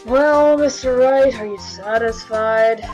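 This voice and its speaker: Woman playing a slow, questioning courtroom judge. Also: a little high, darkish tone, muffled recording, soft background music. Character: puzzled, formal courtroom judge.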